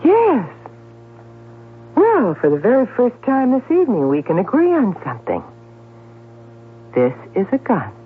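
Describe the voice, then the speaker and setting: A tinny, hissy radio drama recording. a sardonic, tipsy woman with menace in every phrase. Her delivery is deliberate and mocking, swinging widely in pitch. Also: huge pitch swings. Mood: mocking, menacing. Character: cool, sardonic, tipsy woman threatening murder at gunpoint. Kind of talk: 1970s radio drama acting. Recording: tinny, noisy old radio broadcast.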